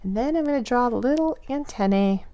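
A woman preschool teacher reading and instructing young children in an expressive, child-directed way. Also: fairly high pitch, a little fast, dull audio, a little hiss or hum. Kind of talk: children's read-aloud and drawing lesson.

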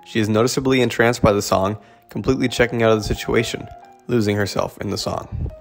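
A man giving scripted narration for a literary video essay in an even, explanatory way. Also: fairly low pitch, quick pace, creaky, soft background music.

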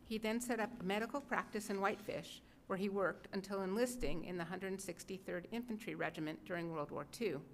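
A woman's voice: mid-range voice, fairly quick, hardly any pauses. A middle-aged woman reads scripted biographical narration at a podium microphone in an indoor event room. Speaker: narrator introducing letters. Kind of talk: scripted narration, read aloud. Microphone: gooseneck podium microphone. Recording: live room recording with background noise.

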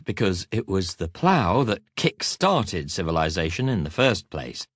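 A man reading a nonfiction audiobook in measured, deliberate phrases, leaning on each item of a list. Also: on the low side, no room sound, studio quality. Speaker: audiobook narrator. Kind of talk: scripted nonfiction audiobook narration. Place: recording studio.

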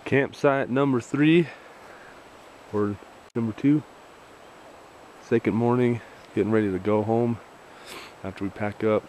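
Relaxed American man filming by a stream. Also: medium pitch. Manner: relaxed, matter-of-fact commentary with short chuckles. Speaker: scout leader filming a backpacking trip. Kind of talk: casual handheld vlog narration. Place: outdoors in a mountain forest by a rushing stream. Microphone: handheld camera mic. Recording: camcorder outdoors with loud stream noise. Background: rushing stream water.

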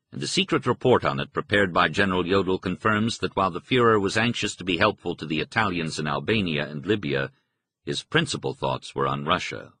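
Male history audiobook narrator. Low, bright and forceful, formal scripted read with measured, articulate phrasing. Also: slightly rough, no echo.

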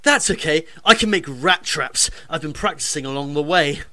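A woman's voice: low voice, lively intonation, moderate pace, bright, forceful tone. A woman playing an earnest, plucky young male hero in a radio pantomime.

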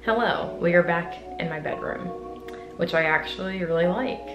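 Female vlogger, 20s, slightly low register, casual deadpan-playful chat, music clearly underneath. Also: moderate pace. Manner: playful, dryly humorous. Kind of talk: casual vlog chat to camera. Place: indoors, small bare-walled apartment rooms. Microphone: camera's built-in microphone, a short distance away. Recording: roomy echo from bare rooms, music underneath.

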